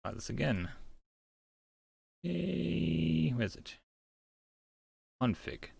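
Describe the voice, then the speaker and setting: A man's voice: on the high side, lively intonation, extremely slow, creaky, darkish tone, breathy edge, no room sound. Man narrating a visual novel, dry close sound. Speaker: narrator and game player. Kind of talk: visual novel read-aloud with casual gameplay remarks.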